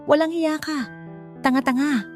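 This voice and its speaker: A woman's voice: high-pitched, steady tone, unhurried, soft background music, voice pushed up. A woman voicing an imperious, furious mother-in-law who scolds with contempt in a dramatic audio story.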